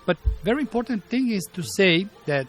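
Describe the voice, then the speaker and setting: A man in his fifties from Bulgaria, speaking English with a Bulgarian accent as a martial arts teacher being interviewed, pausing often to search for words. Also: sits high, very animated, a bit bright, faint music behind. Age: 50s. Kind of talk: interview storytelling.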